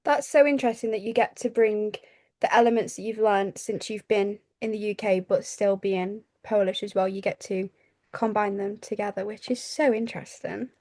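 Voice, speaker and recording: A woman's voice: medium pitch, steady tone, normal speed, dark tone, dry recording. A woman hosting a podcast, interviewing a guest in a conversational way. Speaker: podcast host.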